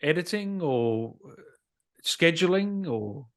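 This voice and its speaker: A man's voice: fairly high pitch, expressive, slow, a bit bright. A man interviewing a guest in a casual, laid-back chat, slangy and encouraging. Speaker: interviewer, gaming show host.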